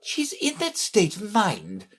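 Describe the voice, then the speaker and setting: Woman acting moaning, fretful high-voiced storybook queen. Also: wildly swooping pitch, takes it slowly, breathy edge, exaggerated voice.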